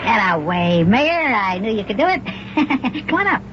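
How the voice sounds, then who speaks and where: A performer voicing a small, eager boy with a squeaky childlike voice in a children's radio serial. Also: big pitch swings, unhurried. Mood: eager, cheering. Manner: eager, exclamatory. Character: small excitable boy elf, eager and adventurous. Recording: noisy, muffled old radio recording.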